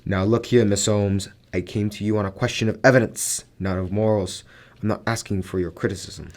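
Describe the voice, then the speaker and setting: A man's voice: lowish voice, fairly even intonation, fairly quick, a little mellow, close to an everyday voice. A man acting a proud, overbearing wealthy man in a radio drama, formal and domineering in manner. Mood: stern, sober.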